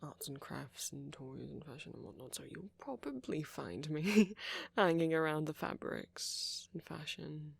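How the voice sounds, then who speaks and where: A man playing a friendly, flirty vampire in an ASMR roleplay, speaking softly, politely and coyly. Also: fairly high pitch, lively intonation, a little slow, creaky, slightly rough, no room sound, heavily acted. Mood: friendly, gently flirty. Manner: soft-spoken, polite, coy, chuckling. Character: friendly flirty gentle male vampire, shyly hopeful.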